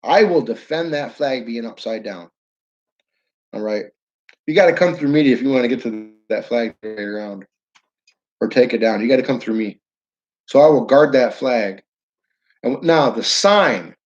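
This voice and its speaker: A man's voice: mid-range voice, a little slow, long pauses. Man, northern new york accent, casual livestream host, rambling, slightly dull lo-fi audio. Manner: rambling, restarts sentences, talks to chat by name. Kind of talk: casual livestream chat with viewers. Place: his downtown building.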